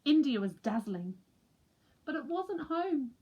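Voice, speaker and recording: A woman reading a children's picture book aloud with expressive narration. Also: high-pitched, takes it slowly, lo-fi sound. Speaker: storybook reader for a school.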